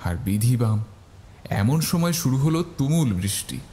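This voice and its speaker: A man's voice: medium pitch, sing-song, a little slow, warm tone, a little hiss or hum. A man narrating a scripted first-person horror story. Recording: faint music behind the voice.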